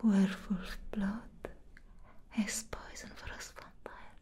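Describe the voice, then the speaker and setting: A woman voicing an ancient, grave and protective elder vampire sister in a breathy, dramatic whisper for an ASMR roleplay. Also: mid-range voice, level tone, warm tone, lightly acted. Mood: tender, protective. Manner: slow, dramatic, intense delivery. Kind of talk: soft-spoken ASMR roleplay.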